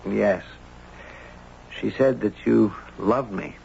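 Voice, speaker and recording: An actor playing a concerned, sympathetic male confidant in a radio drama, speaking gently and hesitantly. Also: lowish voice, slow, gravelly, heavily acted. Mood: gentle, sympathetic. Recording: dull, noisy old radio recording.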